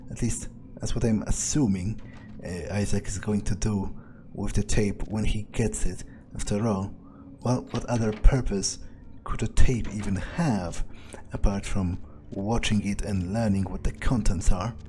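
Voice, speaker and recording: A man's voice: fairly low pitch, fairly even intonation, measured pace, dark tone, slight background noise. A man thinking aloud in character while playing a video game, musing and casually profane. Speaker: let's-play gamer speaking in character.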